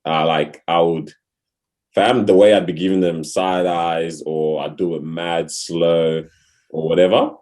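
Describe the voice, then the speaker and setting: A man's voice: sits low, steady tone, measured pace. A young man with an Australian accent, telling a story in casual podcast chat, full of slang. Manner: slangy, laughs loudly, storytelling. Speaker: podcast co-host.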